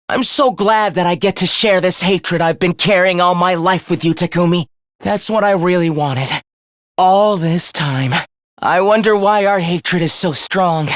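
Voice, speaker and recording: Smug, unhinged young villain, wildly swinging pitch. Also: male voice, fairly bright tone, dry recording, clean studio sound. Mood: gloating, obsessive. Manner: taunting, theatrical, mock-cheerful. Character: sadistic young male villain, smug, unhinged, obsessive, mockingly polite. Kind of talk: theatrical video game voice acting.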